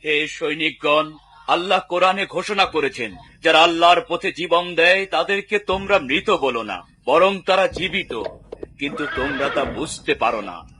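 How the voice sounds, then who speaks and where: A man plays a fervent preacher exhorting soldiers in a cartoon dub. The voice is high-pitched and bright, solemn and declamatory in delivery. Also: moderate pace, husky.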